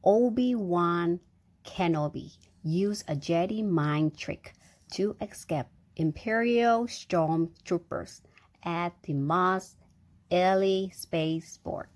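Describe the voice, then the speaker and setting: A woman's voice: on the low side, slow pace, darkish tone. A woman reading a storybook aloud to a young child, breaking off for chatty, engaging asides and questions. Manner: engaging, prompting with questions and sound effects.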